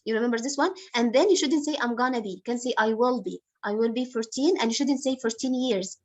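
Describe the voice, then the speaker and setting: A woman teacher speaks over a video call, her voice sitting a bit high. She goes slowly and patiently, repeating each correction and softening it with terms of endearment. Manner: patient, explains slowly, repeats corrections, calls student honey. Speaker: English teacher. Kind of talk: online class correcting a student.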